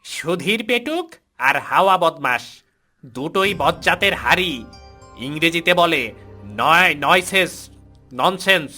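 Lady doing a scheming ghost woman in a cartoon, low sharp bright voice, bit of music behind. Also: lively intonation, measured pace, long pauses. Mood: conspiratorial. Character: scheming, scolding adult female ghost minding a child. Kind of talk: cartoon voice acting.

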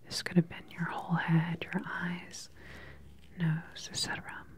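A woman in her thirties performing an ASMR medical roleplay as a calm, attentive doctor, asking questions in a soft, unhurried, clinical way close to the microphone. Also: lowish voice. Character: calm, attentive government research doctor examining a patient.

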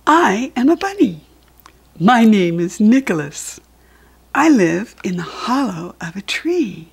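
An American woman reading a picture book aloud to young children, gently explaining things as she goes in a soft, breathy voice. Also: on the low side. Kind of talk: read-aloud storytelling with asides to young children.

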